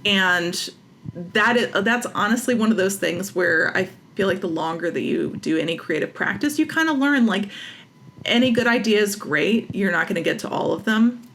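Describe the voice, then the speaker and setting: A woman's voice: medium pitch, animated, moderate pace, a bit bright. An American woman talking casually and emphatically as an interview guest, giving opinions and repeating phrases for stress. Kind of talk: casual, opinionated creative talk.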